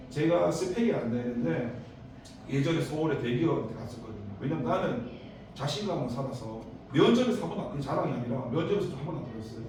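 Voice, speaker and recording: A man's voice: a little high, recorded from a distance, noisy background. A man with a Daegu accent preaching a youth service sermon, telling stories with sudden bursts of emphasis and rambling asides. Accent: Daegu Korean. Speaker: pastor. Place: church sanctuary. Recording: echoey church hall, preacher off-mic.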